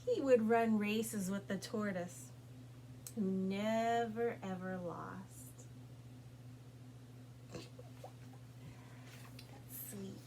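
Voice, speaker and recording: Unhurried woman reading a children's picture book. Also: mid-range voice, slight background noise, poor audio. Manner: deliberate, expressive phrasing with pauses for young listeners. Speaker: storytime reader at a public library.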